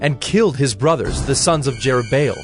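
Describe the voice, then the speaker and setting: A man narrating scripture from a script in a measured, solemn manner. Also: medium pitch, animated, music in the background.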